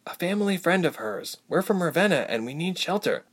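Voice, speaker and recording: A man puts on a young woman traveler for a fantasy audiobook, with a raised pitch. She is courteous and inquisitive, a newcomer finding her way. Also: very animated, fairly quick, breathy edge. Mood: hesitant. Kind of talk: audiobook character voice.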